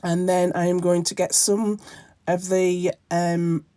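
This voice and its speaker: A woman narrates her crafting project in a loose, rambling way, full of ums and restarts. Her voice is croaky from a cold and sits low for a woman. The sound is dry and close, with no room around it. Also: flat delivery, takes it slowly, mellow, no echo. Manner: rambling, many ums and self-corrections. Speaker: craft channel host. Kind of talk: casual crafting tutorial narration.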